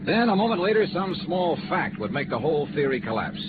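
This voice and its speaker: A man's voice: fairly high pitch, very animated, fairly quick, heavy background noise. An American man playing a hard-boiled, dogged, wry insurance investigator in 1950s radio noir style. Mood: brooding, wry. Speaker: lead actor and narrator. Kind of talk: 1950s radio noir acting, first-person narration. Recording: tinny vintage radio recording with music.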